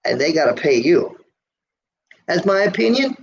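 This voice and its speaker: Gravelly, squeaky-voiced trucker rambling on a livestream. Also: male voice, big pitch swings, moderate pace. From United States. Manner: rambling, folksy, with many fillers and restarts. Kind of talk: casual live chat reading viewer comments. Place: motel room. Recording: lo-fi livestream audio, faint noise.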